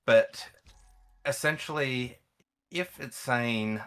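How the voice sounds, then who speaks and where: A man's voice: mid-range voice, takes it slowly, a bit bright, clear, resonant tone, soft background music. A man speaking as an experienced gamer and guest, explaining things in casual conversation.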